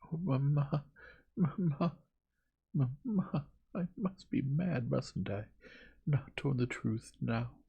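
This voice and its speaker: Man acting a frantic high-pitched Victorian young lady. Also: sing-song, moderate pace, creaky, slightly rough, dull audio, heavily acted. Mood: hysterical. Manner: vehement, dramatic, emphatic. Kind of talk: dramatized audiobook reading.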